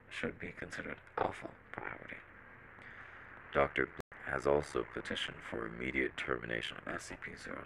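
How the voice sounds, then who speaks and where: A man playing a measured, clinical reader of a scripted horror audio log, with a very deep, gravelly voice. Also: sharp tone, slight background noise, exaggerated voice, rough recording. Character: SCP Foundation document reader and shaken field soldier.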